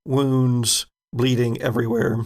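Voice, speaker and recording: A man with a gravelly voice narrating an improvised tabletop game as the game master. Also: medium pitch, level tone, a little slow, a little mellow.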